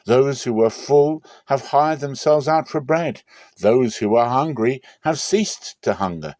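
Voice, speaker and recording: A man voicing a rejoicing woman praying in triumph in a dramatic scripture reading, proclaiming with slow stressed phrases. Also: mid-range voice, lively intonation, a little mellow, no echo, pitched above the performer's own voice, natural voice. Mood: exultant.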